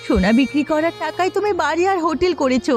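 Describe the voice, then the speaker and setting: Woman voicing an anguished, high-voiced village mother. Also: expressive, fast talker. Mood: anguished, pleading. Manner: pleading, urgent. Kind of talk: melodramatic cartoon voice acting. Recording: music behind the voice.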